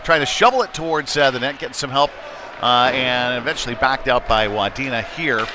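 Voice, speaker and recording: A man's voice: mid-range voice, a little fast. A man doing live play-by-play of a youth hockey game in a conversational, somewhat hesitant and unpolished way. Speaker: play-by-play sports commentator. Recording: noisy live rink ambience. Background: ice rink game noise.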